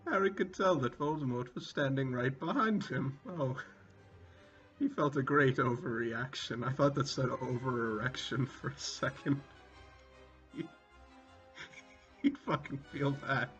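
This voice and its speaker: Guy doing a jokey dramatic reading, kinda cracking up, middling pitch, muffled audio w music under it. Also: fairly bright tone. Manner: amused, often breaking into laughter; mock-dramatic delivery with swearing asides. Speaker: reader. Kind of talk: comedic dramatic reading with casual asides. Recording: dull lo-fi sound, music behind.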